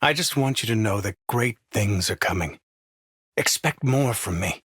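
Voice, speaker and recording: Menacing male game mentor, theatrical, cryptic, breathy, raspy, close dry sound. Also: fairly low pitch, lively intonation, measured pace, fairly bright tone, dry recording, exaggerated voice. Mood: intense praise. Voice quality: breathy, shaky. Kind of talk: theatrical video game voice acting.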